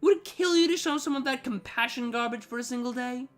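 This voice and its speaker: A woman's voice: sits high, quick pace, soft background music, natural voice. A woman voicing a haughty, irritable, sarcastic siren in a storybook reading, with a biting, exasperated manner. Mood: dry, sarcastic. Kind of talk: storybook fan fiction reading.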